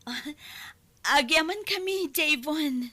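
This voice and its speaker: A woman playing a gentle, easily hurt young bride-to-be in a radio drama, with an Ilocano Filipino accent and a soft, hesitant delivery. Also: sits high, takes it slowly, exaggerated voice. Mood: polite, gentle.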